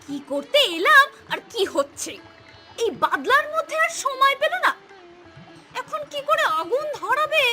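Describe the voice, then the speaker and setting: A woman's voice: extremely high pitch, sing-song, measured pace, pauses often, music in the background, heavily acted. A woman voicing a spiteful, sneering villainous village wife in a cartoon melodrama, scornful and complaining. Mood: irritated.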